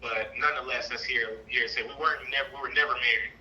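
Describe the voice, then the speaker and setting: A man calling in to tell his personal story in a casual, colloquial, halting and roundabout way. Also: mid-range voice, fairly even intonation, pushed and bright, dull audio, slight background noise. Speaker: caller, trucking business owner.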